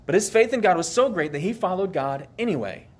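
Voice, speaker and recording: A man's voice: high-pitched, expressive, fairly quick, husky. A man preaching an earnest, emphatic sermon, asking the listeners questions as he goes.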